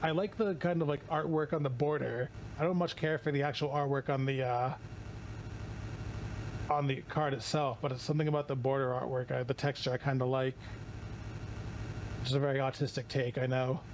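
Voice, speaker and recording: A hoarse man streaming casually while opening card packs, rambling, swearing and often restarting his sentences. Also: medium pitch, fairly even intonation, fairly quick, slight background noise, poor audio.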